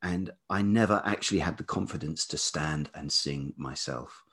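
Middle aged english guy, low velvety voice w a bit of husk, thinking out loud in an interview. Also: normal speed, breathy edge. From England. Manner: hesitant, thoughtful, repeats words while searching. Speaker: podcast guest, writer and musician. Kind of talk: reflective interview conversation.

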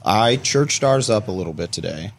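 A man's voice: on the low side, lively intonation, moderate pace. An American man in casual conversation, explaining things in an informal, easygoing way as a guest bartender.

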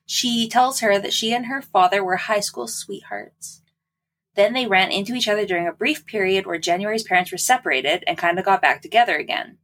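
A woman's voice: mid-range voice, brisk, a bit bright. A woman co-hosting a podcast, casually recapping a book with wry, sarcastic asides. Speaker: podcast co-host.

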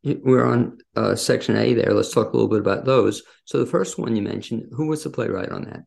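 A man hosting a casual interview, asking questions in a halting, backtracking way. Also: medium pitch, gravelly, darkish tone. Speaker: interviewer and host.

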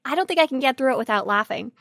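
A woman's voice: sits high, expressive, very fast, gravelly, fairly bright tone, dry recording, clean studio sound. A woman co-hosting a podcast, explaining things casually in a lighthearted, amused way. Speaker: podcast co-host.

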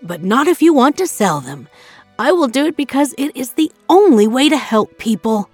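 A woman voicing a humble, principled male tailor in a storybook, with a measured, firm delivery. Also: sits high, sing-song, slightly rough, no echo, faint music behind, pitched above the performer's own voice, full character voice. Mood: proud.

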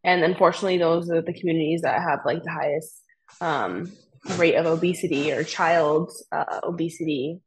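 A woman's voice: low-pitched, normal speed, slightly rough, poor audio. A young American woman chatting casually as a podcast guest and explaining things from her own life in a conversational way. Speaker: podcast guest, fitness coach.

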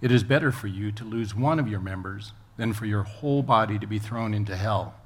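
A man's voice: on the low side, a little fast. A man reading a Bible passage aloud as a scripture reader. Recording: faint background ambient noise.

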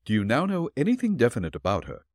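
A man voicing a calm, shrewd detective who questions dryly and evenly. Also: medium pitch, no room sound. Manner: measured, dry questioning.

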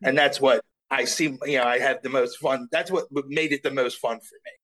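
A man's voice: medium pitch, steady tone, a little fast, fairly bright tone. A man from South Jersey talking as a voice actor guest in an interview. He speaks humbly and rambles, repeating himself as he gathers his thoughts. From South Jersey, USA. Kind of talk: casual interview chat.

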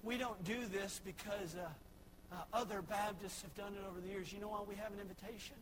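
A young man preaching a sermon, swinging from shouted exhortation to soft, folksy asides. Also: high voice, steady tone, fairly quick, background noise. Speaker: pastor.

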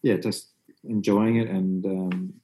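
A man talks about music in an interview in an eager, loose, rambling way, with plenty of "you know" and "and stuff." His voice is very deep and warm. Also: level tone, slow, poor audio. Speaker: musician in a band, guest. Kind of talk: conversational interview.

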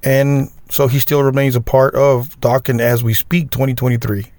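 A man's voice: medium pitch, level tone, normal speed, a little mellow, no echo. A man hosting a metal podcast interview, agreeable and chatty, with many short yeahs and wows. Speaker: podcast host. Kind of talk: casual interview and outro.

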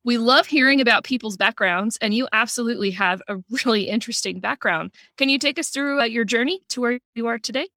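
A woman's voice: fairly high pitch, fast talker, hardly any pauses, pushed and bright, dry recording, clean studio sound. A woman hosting a podcast, reading an upbeat introduction and asking questions. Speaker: podcast host.

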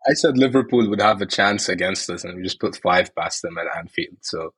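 A man on a casual football podcast, telling short stories in a relaxed way. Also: low-pitched, brisk, husky. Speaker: podcast co-host.

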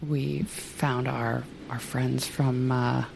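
Hoarse, low-voiced woman with gravel. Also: little pitch movement, very slow, darkish tone, slight background noise, poor audio. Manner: chatty, laughs often. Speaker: podcast co-host. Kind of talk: casual podcast chat.

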